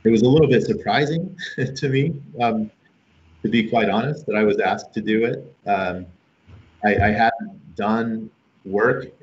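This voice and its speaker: A middle-aged man with a very deep voice, scratchy from a cold, answers interview questions from home. He talks in a relaxed, modest way with many fillers. The audio is roomy and muffled, like a video call. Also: a little slow. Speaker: guest professor. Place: home room.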